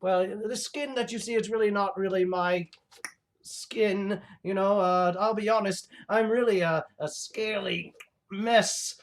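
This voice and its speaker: Pompous reptilian mad scientist, put on by a performer, slow and gloating, mid-register, rambling comic livestream villain. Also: medium pitch, steady tone, lightly acted. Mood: rambling, boastful. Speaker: host of a livestream show. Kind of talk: comic in-character villain monologue.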